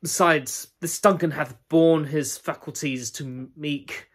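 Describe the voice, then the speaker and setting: A young man playing a guilt-torn Scottish nobleman, speaking Shakespearean verse in a measured, brooding way with a husky voice. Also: on the high side, steady tone. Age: young adult. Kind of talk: Shakespeare audition monologue.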